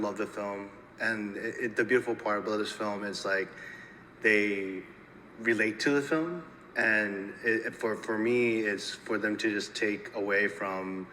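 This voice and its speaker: A man with a low, forceful voice speaks as a filmmaker at a festival interview. He talks haltingly and doubles back on words. The sound is echoey, like a hall, with faint noise around him. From United States (Minnesota). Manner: halting, repeats words, peppers talk with "you know". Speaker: filmmaker and actor.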